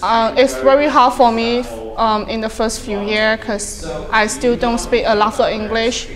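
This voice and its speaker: A woman's voice: fairly high pitch, fairly even intonation, rough recording. Eighteen-year-old woman from southern China. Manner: halting, with fillers and restarts. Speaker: immigrant student interviewee. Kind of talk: candid interview answers. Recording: crowd chatter behind.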